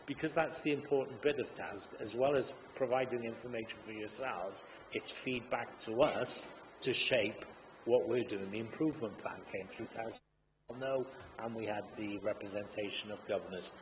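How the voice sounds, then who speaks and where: A man's voice: lowish voice, moderate pace. A man speaking as a councillor in a council chamber, earnest and full of hesitant uhs. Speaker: councillor, executive member for education. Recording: long chamber reverb, faint hum.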